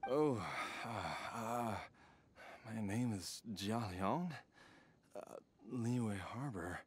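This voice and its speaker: A man voicing a confused, halting middle-aged villager who has lost his memory in a video game, stammering and bewildered. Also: fairly low pitch, expressive, breathy edge, exaggerated voice. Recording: game audio with faint ambience.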